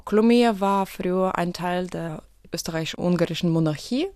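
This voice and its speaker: A woman's voice: fairly low pitch, creaky, a little mellow, dry recording, studio quality. Earnest young woman. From western Ukraine. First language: Ukrainian. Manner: earnest, halting, with fillers. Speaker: guest. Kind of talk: radio interview.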